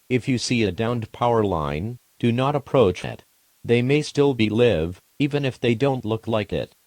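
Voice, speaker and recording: A man voicing a formal, impersonal emergency alert announcer, reading scripted warnings in a measured way. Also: on the low side, a little mellow, no room sound, natural voice.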